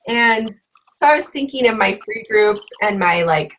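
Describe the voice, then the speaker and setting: A woman hosting a book club, a bit hoarse from being sick, chatting casually with lots of fillers. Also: medium pitch, lively intonation, unhurried, a bit bright, a little hiss or hum, poor audio. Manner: chatty, with frequent fillers and laughs. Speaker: fitness coach and book club host.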